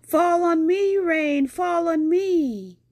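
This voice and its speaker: A woman voicing her young daughter, who delights in the rain and speaks in a slow, dreamy sing-song. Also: very high voice, darkish tone, dry recording, voice pushed up, full character voice.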